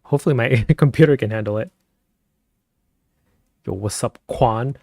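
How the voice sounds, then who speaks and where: A man chatting casually with viewers on a live stream, speaking softly and keeping his voice down at night at home, and laughing often. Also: moderate pace, creaky, dry recording, clean studio sound. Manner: soft-spoken, keeps voice low at night.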